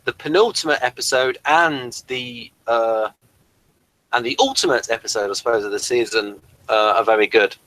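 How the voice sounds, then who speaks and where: Male review co-host. Bright, crisp-edged voice, very dry recording, casual rambling delivery. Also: mid-range voice, no room sound, poor audio. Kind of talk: casual episode review chat.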